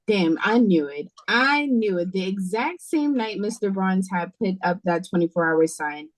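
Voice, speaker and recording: A woman's voice: lowish voice, dry recording, poor audio. A woman with an American accent playing a sassy, wary waitress in an audio play read-through. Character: sassy, wary young waitress working the night shift. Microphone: video call. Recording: close, dry video call audio.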